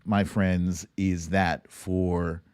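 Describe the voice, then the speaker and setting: A man's voice: deep voice, flat delivery, drawn-out words, darkish tone, clear, resonant tone, no room sound. A man hosting a casual livestream, chatting and reacting to clips in a playful, theatrical way. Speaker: host of a live online show.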